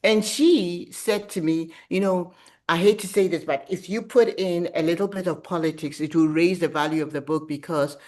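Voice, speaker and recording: A middle-aged woman talks as an author being interviewed. Her delivery is conversational and animated, with a reflective way of recounting her own thoughts. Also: fairly low pitch, moderate pace. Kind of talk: casual conversational storytelling about writing.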